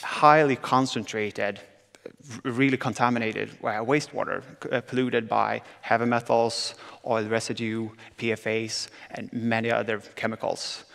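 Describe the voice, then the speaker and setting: A man's voice: medium pitch, flat delivery, normal speed, creaky, a bit bright. A young man from southern Sweden with a Swedish accent, speaking as a panel guest with many hesitations. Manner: hesitant, many filler sounds. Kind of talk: panel talk on stage. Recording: reverberant conference hall.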